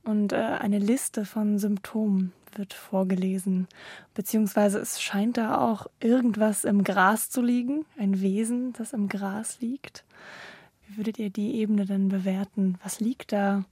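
A woman's voice: mid-range voice, steady tone, unhurried, darkish tone, breathy edge, no echo. A woman hosting a cultural radio interview, putting questions to her guests. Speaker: interview host.

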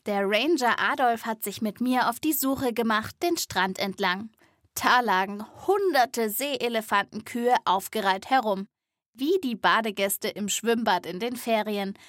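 A woman's voice: a little high, measured pace, fairly bright tone, dry recording. A woman hosting a children's podcast, telling stories as scripted narration and addressing young listeners directly, recorded in a studio.

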